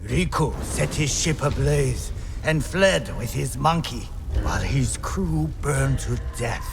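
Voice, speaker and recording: An actor playing a haunted pirate sailor who spins grim legends with theatrical, ominous pauses in a video game. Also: male voice, medium pitch, lively intonation, creaky, music in the background. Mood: grave, foreboding. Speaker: storyteller. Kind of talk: dramatic campfire-style storytelling in a video game.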